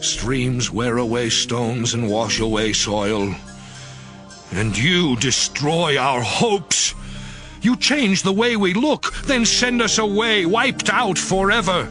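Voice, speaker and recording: A man's voice: on the high side, expressive, takes it slowly, over music. An actor playing an anguished, suffering man who pleads bitterly and emotionally with God in a dramatized scripture production. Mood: bitter despair.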